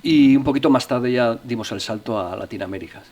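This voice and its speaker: A man's voice: medium pitch, brisk, a little mellow. Middle-aged man telling stories hesitantly. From Navarre, Spain. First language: Spanish. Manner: hesitant, with frequent restarts and fillers. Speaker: musician and interview guest. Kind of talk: relaxed interview storytelling.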